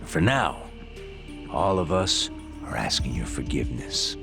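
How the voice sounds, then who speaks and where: A man playing a stern, commanding yakuza lieutenant with deliberate, formal delivery in a video game cutscene. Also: a bass voice, sing-song, vocal fry, music in the background, heavily acted. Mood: solemn, apologetic.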